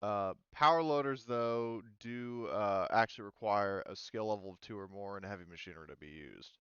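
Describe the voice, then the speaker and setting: A man's voice: fairly low pitch, normal speed, a bit bright, clean tone, no room sound, highs cut off. A man running a tabletop game as game master, explaining rules casually and matter-of-factly over voice chat.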